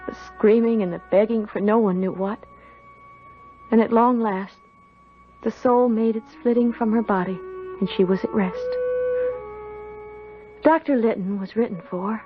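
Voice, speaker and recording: Woman playing a troubled young maid, earnest and dramatic, medium pitch, dull old radio sound with music. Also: close to an everyday voice. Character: earnest, guilt-ridden young servant girl, sensitive and curious. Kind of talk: old-time radio drama acting and narration. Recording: muffled old radio broadcast.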